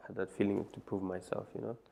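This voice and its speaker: Deep gravelly man. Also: level tone, fast, mellow. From Afghanistan. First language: Farsi. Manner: hesitant, many fillers and restarts. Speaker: interview guest. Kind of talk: personal story interview. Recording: muffled lo-fi sound.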